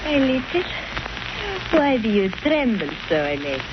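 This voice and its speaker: An actress playing a cool, calm, controlled villainess in a 1930s radio drama. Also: female voice, a little high, measured pace. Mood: cool, composed. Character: cool, composed villainess soothing a nervous accomplice. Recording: noisy muffled old radio recording.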